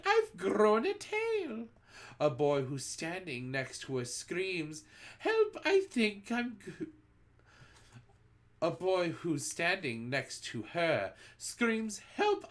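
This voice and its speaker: A reader putting on the voice of a cruel, gloating old witch queen who shouts and chants a singsong rhyme in a storybook read-aloud. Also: medium pitch, pitched above the performer's own voice.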